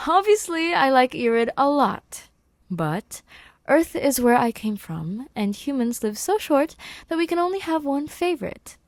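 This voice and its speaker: A woman voicing an eager, friendly human male science teacher, animated and encouraging, in a fan fiction audio reading. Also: sits high, a little slow, dry recording, studio quality, voice pushed up, exaggerated voice. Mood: eager, explaining. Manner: animated, encouraging classroom delivery.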